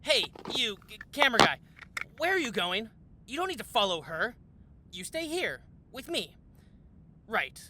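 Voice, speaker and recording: A woman's voice: fairly high pitch, big pitch swings, a little slow, long pauses, heavy background noise. A woman playing a smug, sexist male office rival, pompous and sneering, in a comedy audio drama. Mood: conspiratorial, boastful.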